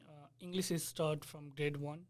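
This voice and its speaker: Young man, flat and earnest. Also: a little high, normal speed. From Nepal. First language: Nepali. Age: 20s. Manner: earnest, explanatory, searching for words. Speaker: software developer, interviewee. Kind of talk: casual interview.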